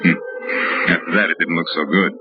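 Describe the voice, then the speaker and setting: Man acting a hard-boiled, low-voiced radio detective. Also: steady tone, a little fast, noisy background, natural voice. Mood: wry, brooding. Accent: American. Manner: wry, hard-boiled, dramatic. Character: tough, wry, world-weary male private detective. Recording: muffled old radio recording with music.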